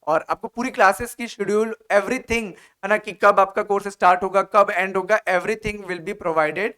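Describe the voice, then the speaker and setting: A man's voice: sits high, steady tone, normal speed, sharp tone, smooth. An Indian man teaching as an exam coach, explaining in an instructive way and often checking in with short tag questions. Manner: instructive, frequent check-in tags like "okay?" and "right?". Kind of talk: explanatory exam-prep lecture, Hindi mixed with English.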